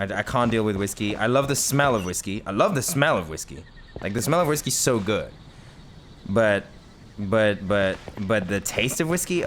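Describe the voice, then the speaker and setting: A man's voice: medium pitch, a bit bright, slight background noise. Rambling, laugh-prone male gamer. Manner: rambling, chatty, often laughs, self-mocking. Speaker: gaming video host. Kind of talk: casual let's-play commentary.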